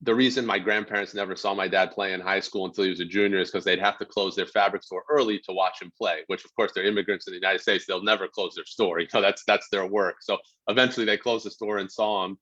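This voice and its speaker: A man's voice: deep voice, steady tone, fast talker, hardly any pauses, a bit bright. A man in his thirties, an author, talking earnestly about his book and reading a passage aloud over a video call. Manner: earnest, frequent "you know" fillers. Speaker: author guest. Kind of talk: casual talk and reading aloud. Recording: dull lo-fi video call audio.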